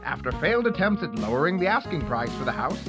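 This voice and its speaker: A man's voice: on the high side, sing-song, fast talker. A man announcing a radio play with scripted narration over music. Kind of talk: scripted radio drama introduction. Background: guitar music.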